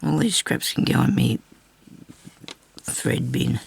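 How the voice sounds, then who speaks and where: An older woman with a raspy, hoarse voice from a bad cough, chatting casually through a sewing tutorial at her craft table. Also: very deep voice, expressive, slow. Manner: chatty, rambling asides while stitching. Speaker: crafting channel host. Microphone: overhead camera on her hands.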